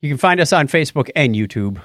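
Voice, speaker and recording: A man's voice: medium pitch, expressive, fast, a bit bright, no echo, clean studio sound. A man hosting a podcast in a casual, conversational way, telling stories with animation. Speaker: podcast host.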